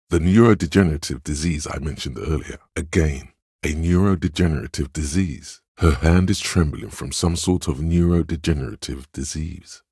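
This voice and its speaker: Casting: a brooding inner-voice narrator in a detective game. Extremely low, heavily rasped male voice, deliberate and intimate. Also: a little mellow, no room sound, clean studio sound, exaggerated voice. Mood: grave, insistent. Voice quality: very deep, gravelly, husky. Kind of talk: dramatic second-person game narration.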